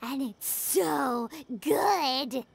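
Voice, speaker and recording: A woman voicing a tiny, excitable, food-loving fairy companion in an anime game, with a squeaky childlike voice. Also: drawn-out words, creaky, full character voice. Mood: excited. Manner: perky, emphatic, speaks of self in third person.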